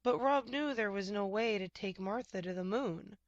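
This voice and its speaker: A woman reading a children's picture book aloud in expressive narration. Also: medium pitch, moderate pace, no room sound, muffled recording.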